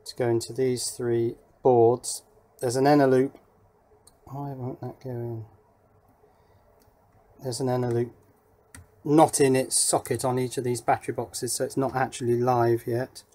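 A man's voice: mid-range voice, a little mellow. A man giving a casual hands-on electronics demonstration, thinking aloud as he works. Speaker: electronics hobbyist presenter. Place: indoor workshop.

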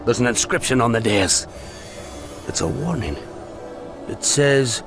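Old fantasy game dwarf, gruff, fatherly, cautious, slow delivery, music behind. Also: mid-range voice. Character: stout old dwarf warrior, cautious, loyal mentor. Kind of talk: fantasy video game cutscene acting.

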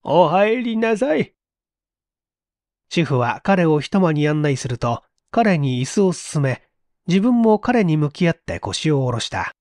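A man's voice: fairly high pitch, sing-song, a little slow, darkish tone, dry recording. A man reading a literary mystery story aloud as a dramatic narrator. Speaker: story reader.

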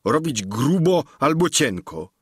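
A man's voice: mid-range voice, very animated, slow, slightly rough, dry recording, clean studio sound, voice pushed up, full character voice. A man voicing a simple, earnest peasant squire who talks in a blunt, folksy, pleading way.

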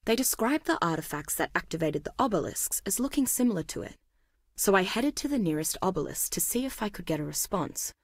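A woman's voice: medium pitch, big pitch swings, fairly quick, breathy edge, no room sound, studio quality. An actress playing an inquisitive, analytical woman biologist in a video game, reading journal entries in an articulate, dryly wry way. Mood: pensive, puzzled. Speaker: voice actor.

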